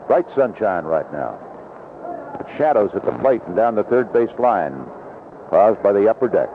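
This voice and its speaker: A man's voice: fairly low pitch, sing-song, measured pace, narrow, tinny audio, background noise. A man calling baseball play-by-play live from a ballpark booth on a 1970s radio broadcast.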